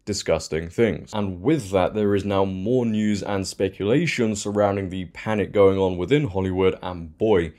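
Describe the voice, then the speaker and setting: Low-pitched, mellow male commentator. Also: normal speed, few pauses. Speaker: online news commentator and host. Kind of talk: opinionated news commentary to camera.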